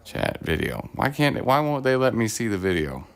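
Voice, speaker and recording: A middle-aged man hosting a casual livestream from his home table, rambling and self-deprecating, his voice deepened while getting over an illness, recorded on a phone. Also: big pitch swings, normal speed, a bit bright, smooth. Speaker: streamer host. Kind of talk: casual livestream chat while painting miniatures. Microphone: phone on a clamp arm.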